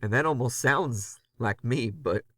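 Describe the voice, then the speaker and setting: An actor playing a haunted, panicked man recording a halting, anguished confession in a horror audio drama. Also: medium pitch, a bit bright, no room sound. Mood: bewildered, frightened. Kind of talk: first-person horror audio drama monologue.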